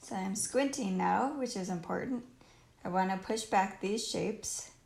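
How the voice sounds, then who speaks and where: Croaky woman teaching painting, slow and roomy. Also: medium pitch, a little mellow. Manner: thinks aloud in short phrases while painting. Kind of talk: casual live painting tutorial. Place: indoors at home, live stream while painting. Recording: roomy live stream with faint noise and clicks.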